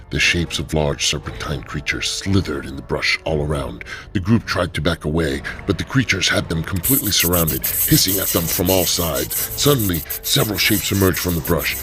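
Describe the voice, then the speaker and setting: A man narrating a radio drama in a steady, measured storytelling manner. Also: very deep voice.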